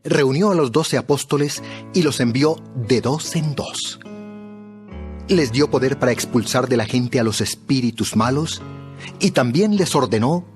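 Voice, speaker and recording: A man's voice: mid-range voice, unhurried, soft background music. A man narrating a dramatized Bible story from a script.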